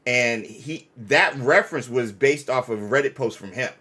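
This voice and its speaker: A man's voice: mid-range voice, normal speed, bright, forceful tone, husky. A man hosting a gaming fan channel, chatting casually and effusively in slangy, bro-heavy speech. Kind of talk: casual live-stream chat.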